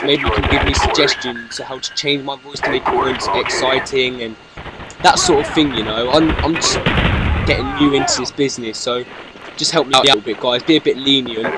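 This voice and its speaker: A British young man in his late teens giving hesitant, monotonous gameplay commentary, full of ums and restarts. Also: medium pitch, very noisy. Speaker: gaming YouTuber. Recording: loud game gunfire behind. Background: loud video game gunfire and explosions.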